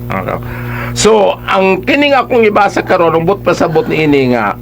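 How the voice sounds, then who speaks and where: A man's voice: sits high, big pitch swings, moderate pace, gravelly, faint music behind. A man with a Cebuano accent giving conversational, emphatic advice as a radio host.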